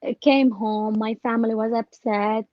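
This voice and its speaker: A woman telling a personal story as an interview guest, casually and with animated retelling of exchanges. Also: fairly high pitch, normal speed, no echo, highs cut off. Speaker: interview guest, scam victim.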